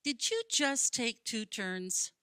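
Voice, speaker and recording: A woman's voice: a little high, big pitch swings, slow, pushed and bright, clear, resonant tone, dry recording, clean studio sound, heavily acted. An actress in a radio play playing a skeptical, stubborn, gloomy woman who questions and argues. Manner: querying, argumentative.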